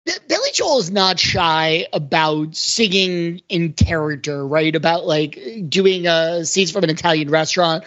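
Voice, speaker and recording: A woman's voice: on the low side, animated, a little slow, hardly any pauses, fairly bright tone, highs cut off. A woman chatting casually as a podcast panelist, hedging her points in a conversational way. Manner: hedging, conversational, frequent 'like' and 'sort of'. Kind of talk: casual discussion, critiquing song lyrics.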